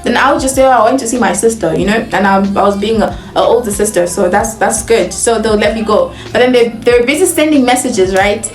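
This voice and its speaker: A woman's voice: fairly high pitch, fairly quick, hardly any pauses, roomy sound, faint music behind. A young woman from Nigeria with a Nigerian accent, telling a casual, chatty story as a vlogger, laughing often and filling with "like". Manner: chatty, animated, laughs often, heavy use of "like".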